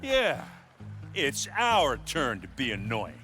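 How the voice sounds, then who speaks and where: An actor playing a brash, good-natured warrior buddy who loves smashing things, in an animated video game. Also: male voice, medium pitch, slow pace, faint music behind, exaggerated voice. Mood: gleeful. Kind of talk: animated game cutscene acting.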